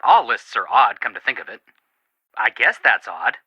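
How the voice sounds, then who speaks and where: An actor voicing an excitable, flippant young male amateur detective in a video game, joking in an exaggerated, mock-dramatic way. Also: fairly low pitch, normal speed, husky, no room sound, exaggerated voice, poor audio. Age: young adult.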